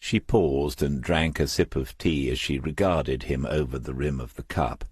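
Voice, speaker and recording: Very deep, husky male audiobook narrator. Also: normal speed, a little mellow, dry recording, clean studio sound. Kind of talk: scripted crime fiction narration.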